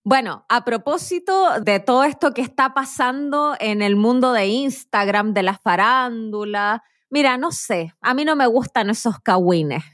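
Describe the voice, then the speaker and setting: High-voiced Chilean woman hosting. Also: unhurried, no echo, clean studio sound. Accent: Chilean Spanish. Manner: animated, laughs often, playful quizzing. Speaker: podcast host. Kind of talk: casual conversation with a guest.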